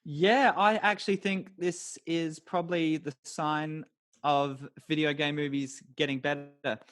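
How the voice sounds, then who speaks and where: A man's voice: on the high side, fairly even intonation, measured pace, fairly bright tone, no echo, studio quality. A man co-hosting a podcast about films in a casual, conversational way, often dropping "you know" into his sentences. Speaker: podcast co-host discussing films. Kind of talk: casual chat.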